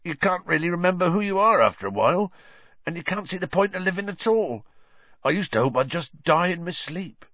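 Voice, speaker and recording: A man's voice: high voice, expressive, fairly quick, no echo, voice pushed up. A man doing a sobbing giant gamekeeper. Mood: gloomy. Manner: sobbing, broken rural dialect. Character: huge grieving male gamekeeper, tearful and gruff. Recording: dull lo-fi audio.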